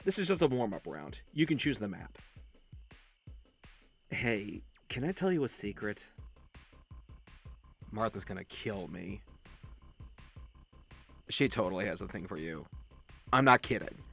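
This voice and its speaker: A man's voice: fairly low pitch, a little slow, gravelly, pauses often, husky, soft background music, exaggerated voice. A man voicing a friendly, chatty young male werewolf who talks in teasing gamer slang in an audio roleplay. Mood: casual, confiding. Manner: slangy, hushed-to-excitable, teasing.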